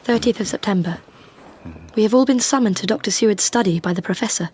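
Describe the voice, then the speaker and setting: A woman's voice: medium pitch, quick pace, a little mellow, a little hiss or hum, close to an everyday voice. An actress playing a polite, curious married Victorian woman, composed in manner, in an audio drama. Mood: subdued, solemn.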